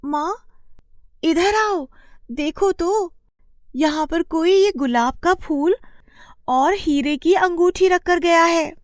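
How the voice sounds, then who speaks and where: A woman acts out an earnest young village girl for a moral tale. The voice is high and plaintive, unhurried in its delivery, recorded close and dry. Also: no room sound. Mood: amazed, puzzled. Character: upset, earnest young village daughter from poor family. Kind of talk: acted dialogue for moral story.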